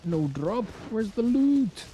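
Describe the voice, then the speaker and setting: A man's voice: sits high, big pitch swings, takes it slowly, muffled recording. A man doing casual live gameplay commentary as a streamer, muttering short offhand reactions. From Indonesia. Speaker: game streamer. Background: loud game music and combat effects.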